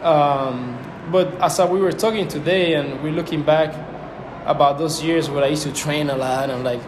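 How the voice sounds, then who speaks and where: A man's voice: on the high side, normal speed. A young man from Cuba whose first language is Spanish, speaking as an interview guest in a reflective way and searching for his words. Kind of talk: casual conversation. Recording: roomy with background noise.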